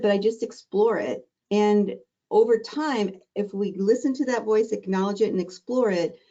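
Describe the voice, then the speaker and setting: Middle-aged lady teaching a webinar, mellow voice, kinda dull lo-fi audio. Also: mid-range voice. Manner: warm, encouraging, conversational teacher. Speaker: diabetes educator teaching a webinar. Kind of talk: live online exam-prep lesson.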